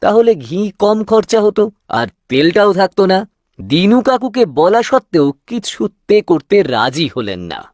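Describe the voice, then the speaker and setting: A man's voice: sits high, sing-song, normal speed, a little mellow, no echo, clean studio sound. A man playing a shopkeeper's profit-minded grown son in a cartoon tale, respectful but hesitant, persuasive and self-justifying. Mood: wheedling. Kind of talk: cartoon fairy-tale voice acting.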